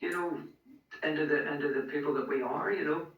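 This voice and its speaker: A man's voice: fairly high pitch, fairly even intonation, a little fast, echoey room, far from the mic, highs cut off, slight background noise. A man as a guest in a conversation, talking earnestly and encouragingly, often repeating words. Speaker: guest, strongman coach. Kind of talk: casual interview chat.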